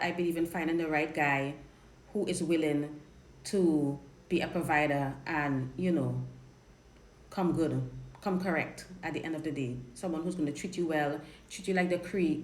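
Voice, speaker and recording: A woman from Trinidad with a Trinidadian accent, a dating coach chatting frankly while answering viewer questions on a live stream. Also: lowish voice. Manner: chatty, frank, repeats and restarts words. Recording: roomy live-stream audio with faint noise.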